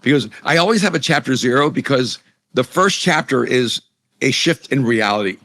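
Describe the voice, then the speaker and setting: A man's voice: medium pitch, animated, moderate pace, sharp tone. A middle-aged man from El Salvador, a Spanish native speaker, talking earnestly as an interview guest and often restarting his sentences. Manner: earnest, many restarts and repeated words. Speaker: author and pastor guest. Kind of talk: conversational interview.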